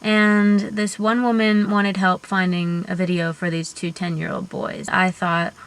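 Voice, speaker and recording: A young female-bodied genderqueer vlogger with a high voice, talking earnestly and reflectively to the camera, thinking aloud with small fillers. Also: little pitch movement, unhurried, smooth, rough recording. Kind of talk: personal vlog monologue.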